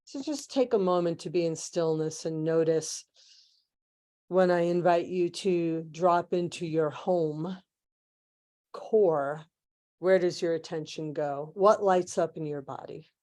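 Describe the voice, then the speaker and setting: A woman leading a guided meditation in measured, hushed phrases with long pauses, like a spiritual transmission. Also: lowish voice.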